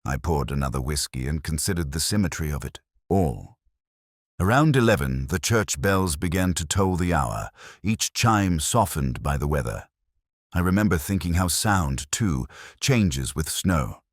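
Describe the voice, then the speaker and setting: Brooding older detective , played by a man: very deep voice, wide melodic range, reflective first-person drama narration, close dry sound. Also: animated, no echo, full character voice. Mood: uneasy, brooding. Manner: measured, literary, reflective.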